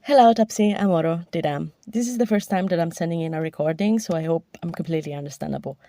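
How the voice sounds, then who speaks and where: Female listener voicemail, soft-edged dark tone, lower pitch, personal opinion delivery. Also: fast talker, no echo. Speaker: listener sending a voice message. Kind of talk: personal recorded opinion message.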